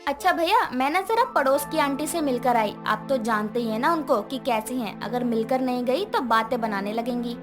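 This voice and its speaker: Narrator lady as sweet young woman, coaxing, fairly high voice, music playing behind. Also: normal speed, few pauses, natural voice. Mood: casual sweetness. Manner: tender, coaxing. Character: affectionate, reassuring young woman, sweet-tempered. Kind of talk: animated moral-story dialogue.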